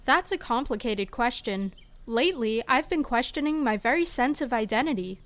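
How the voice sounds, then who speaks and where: A woman's voice: on the high side, lively intonation, moderate pace, fairly bright tone. A woman playing an odd, politely formal laboratory spokeswoman in an audio drama, serenely literal and precise. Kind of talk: scripted audio fiction acting.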